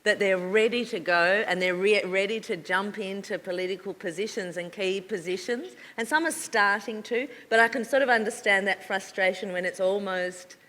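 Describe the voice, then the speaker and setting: A woman on a panel, speaking thoughtfully with frequent pauses and fillers. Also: medium pitch, a bit bright. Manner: thoughtful, hesitant with many fillers. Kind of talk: panel discussion. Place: university lecture hall. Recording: reverberant lecture hall.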